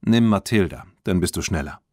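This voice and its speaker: A man voicing a terse, gruff old farm grandfather in a children's audiobook. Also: low-pitched, lively intonation, fairly quick, no room sound, studio quality. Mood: stern, matter-of-fact.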